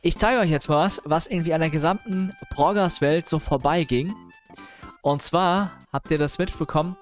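A man's voice: fairly high pitch, moderate pace, soft background music. A man chatting casually as a live streamer, mixing in English gamer slang and grumbling asides. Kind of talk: casual livestream chat, reacting to news and viewers.

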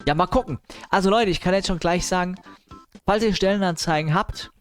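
A man with a fairly high voice talks casually as a live streamer. He drops English gamer slang into his speech and adds grumbling asides. Music plays behind him. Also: a little fast. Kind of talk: casual livestream chat, reacting to news and viewers.

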